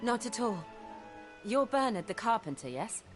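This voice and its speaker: A woman's voice: a little high, sing-song, moderate pace, music in the background. An actress playing a composed, courteous young noble lady in a fantasy video game, polite and well-spoken. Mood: kind, courteous.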